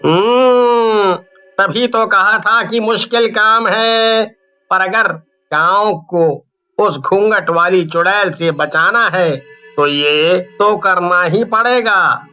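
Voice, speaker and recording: A solemn village priest, put on by a male narrator, speaking slowly and ominously in a high, very sharp voice. The sound is slightly dull and band-limited, with music behind. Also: clear, resonant tone, heavily acted. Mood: solemn, insistent. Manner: slow, weighty, ominous pronouncements. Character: grave male village priest giving warnings. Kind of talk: moral story cartoon voice acting.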